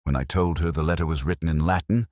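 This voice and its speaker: A man reading a scripted first-person detective story in a measured, literary, reflective manner. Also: rumbling low, steady tone, darkish tone, dry recording, studio quality. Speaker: narrator.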